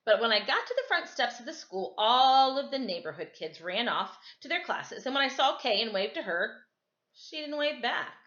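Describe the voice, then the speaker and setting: Bright-voiced woman reading in an echoey room. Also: on the high side, big pitch swings, moderate pace. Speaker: storytime reader. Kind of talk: casual storybook read-aloud for children. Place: indoors at home, kitchen and another room. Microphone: camera microphone. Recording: echoey room, slightly dull camera audio.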